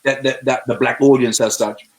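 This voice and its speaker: A man in his late fifties speaks earnestly at a mid pitch. He often restarts his sentences and circles back before finding his point. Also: steady tone. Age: 50s. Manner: earnest, halting, many restarts. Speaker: comedian guest. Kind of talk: casual chat.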